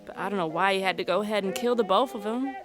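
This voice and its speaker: An actress playing a gangster's wary, complaining young sweetheart in a 1920s period audio drama. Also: female voice, mid-range voice, fast talker, over music, lightly acted. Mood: aggrieved, needling.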